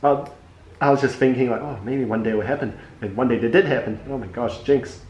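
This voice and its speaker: A man's voice: mid-range voice, brisk, a little mellow, dull audio. A young man from Hong Kong working as a podiatrist, chatting casually with a patient in an easygoing way. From Hong Kong, moved to New Zealand young. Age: young adult. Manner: easygoing, uses "like" often. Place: indoor treatment room. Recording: roomy echo, clear background noise.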